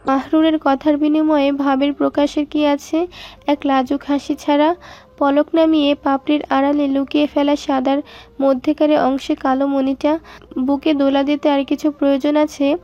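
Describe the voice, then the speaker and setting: High, even-toned woman narrating a story. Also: moderate pace, highs cut off.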